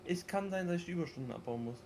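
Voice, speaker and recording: A man in a gaming call, talking quietly and a bit hesitantly as he wishes everyone well. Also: high voice, lively intonation, fast, a little hiss or hum. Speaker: co-player. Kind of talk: casual gaming chat.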